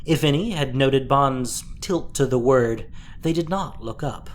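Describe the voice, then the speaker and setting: A woman narrating a dramatic story from a script, expressive and deliberate in her telling. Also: rumbling low, a little hiss or hum.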